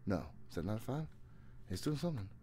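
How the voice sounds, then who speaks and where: Deep-voiced man, jittery game commentary. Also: very animated, fast, dark tone, a little hiss or hum. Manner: jittery, exasperated self-talk; frequent swearing. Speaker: game streamer. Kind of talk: casual horror game commentary. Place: small home room, indoors. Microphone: desk microphone, headset worn.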